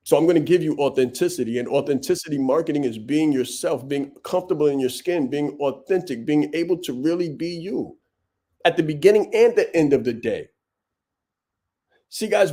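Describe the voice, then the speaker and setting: A man's voice: mid-range voice, mellow. A man hosting a business podcast, giving a blunt, preachy motivational monologue full of punchy repetition. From Vietnam. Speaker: podcast host and business coach.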